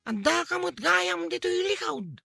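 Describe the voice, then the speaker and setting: Teasing, concerned Ilocano village pal for audio comedy. Extremely high, piercing voice, exclamatory, music underneath. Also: male voice, lively intonation, normal speed, vocal fry, bright, forceful tone, full character voice. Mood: alarmed. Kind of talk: Ilocano comedy drama podcast acting.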